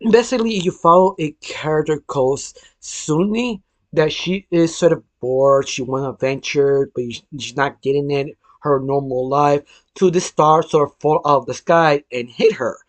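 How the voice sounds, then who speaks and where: A man with a hoarse voice from illness, hosting a casual cartoon news roundup, rambling with lots of filler phrases and restarts. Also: fairly high pitch, dry recording. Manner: rambling, heavy use of "sort of", frequent restarts. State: hoarse, losing his voice from illness. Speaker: cartoon news channel host.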